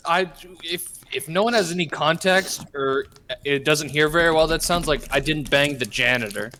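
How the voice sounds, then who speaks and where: A man's voice: fairly high pitch, normal speed, background noise. A man chatting casually as a player in a tabletop roleplaying game.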